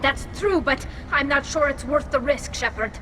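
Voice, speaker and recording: A woman voicing a cautious, earnest young female alien engineer in a video game, speaking in a measured, precise way. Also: high voice, noisy background, close to an everyday voice. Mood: urgent doubt.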